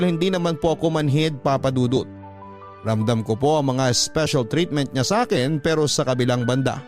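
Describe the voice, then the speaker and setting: A man with a Filipino accent reading a letter aloud as radio storytelling narration. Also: mid-range voice. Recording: soft music bed behind. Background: music.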